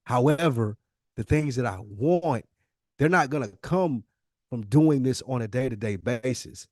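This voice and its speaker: A man's voice: medium pitch, lively intonation, measured pace, a little mellow, no room sound, clean studio sound. A middle-aged American man talking casually and motivationally as a podcast co-host, emphatic and repeating phrases to drive points home.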